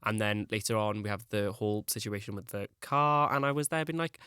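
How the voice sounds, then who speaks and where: A man's voice: fairly low pitch, brisk, bright, forceful tone, clean tone, dry recording, clean studio sound. A young man, chatting as a podcast guest, rambling and full of "like". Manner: rambling, peppered with "like". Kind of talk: casual chat.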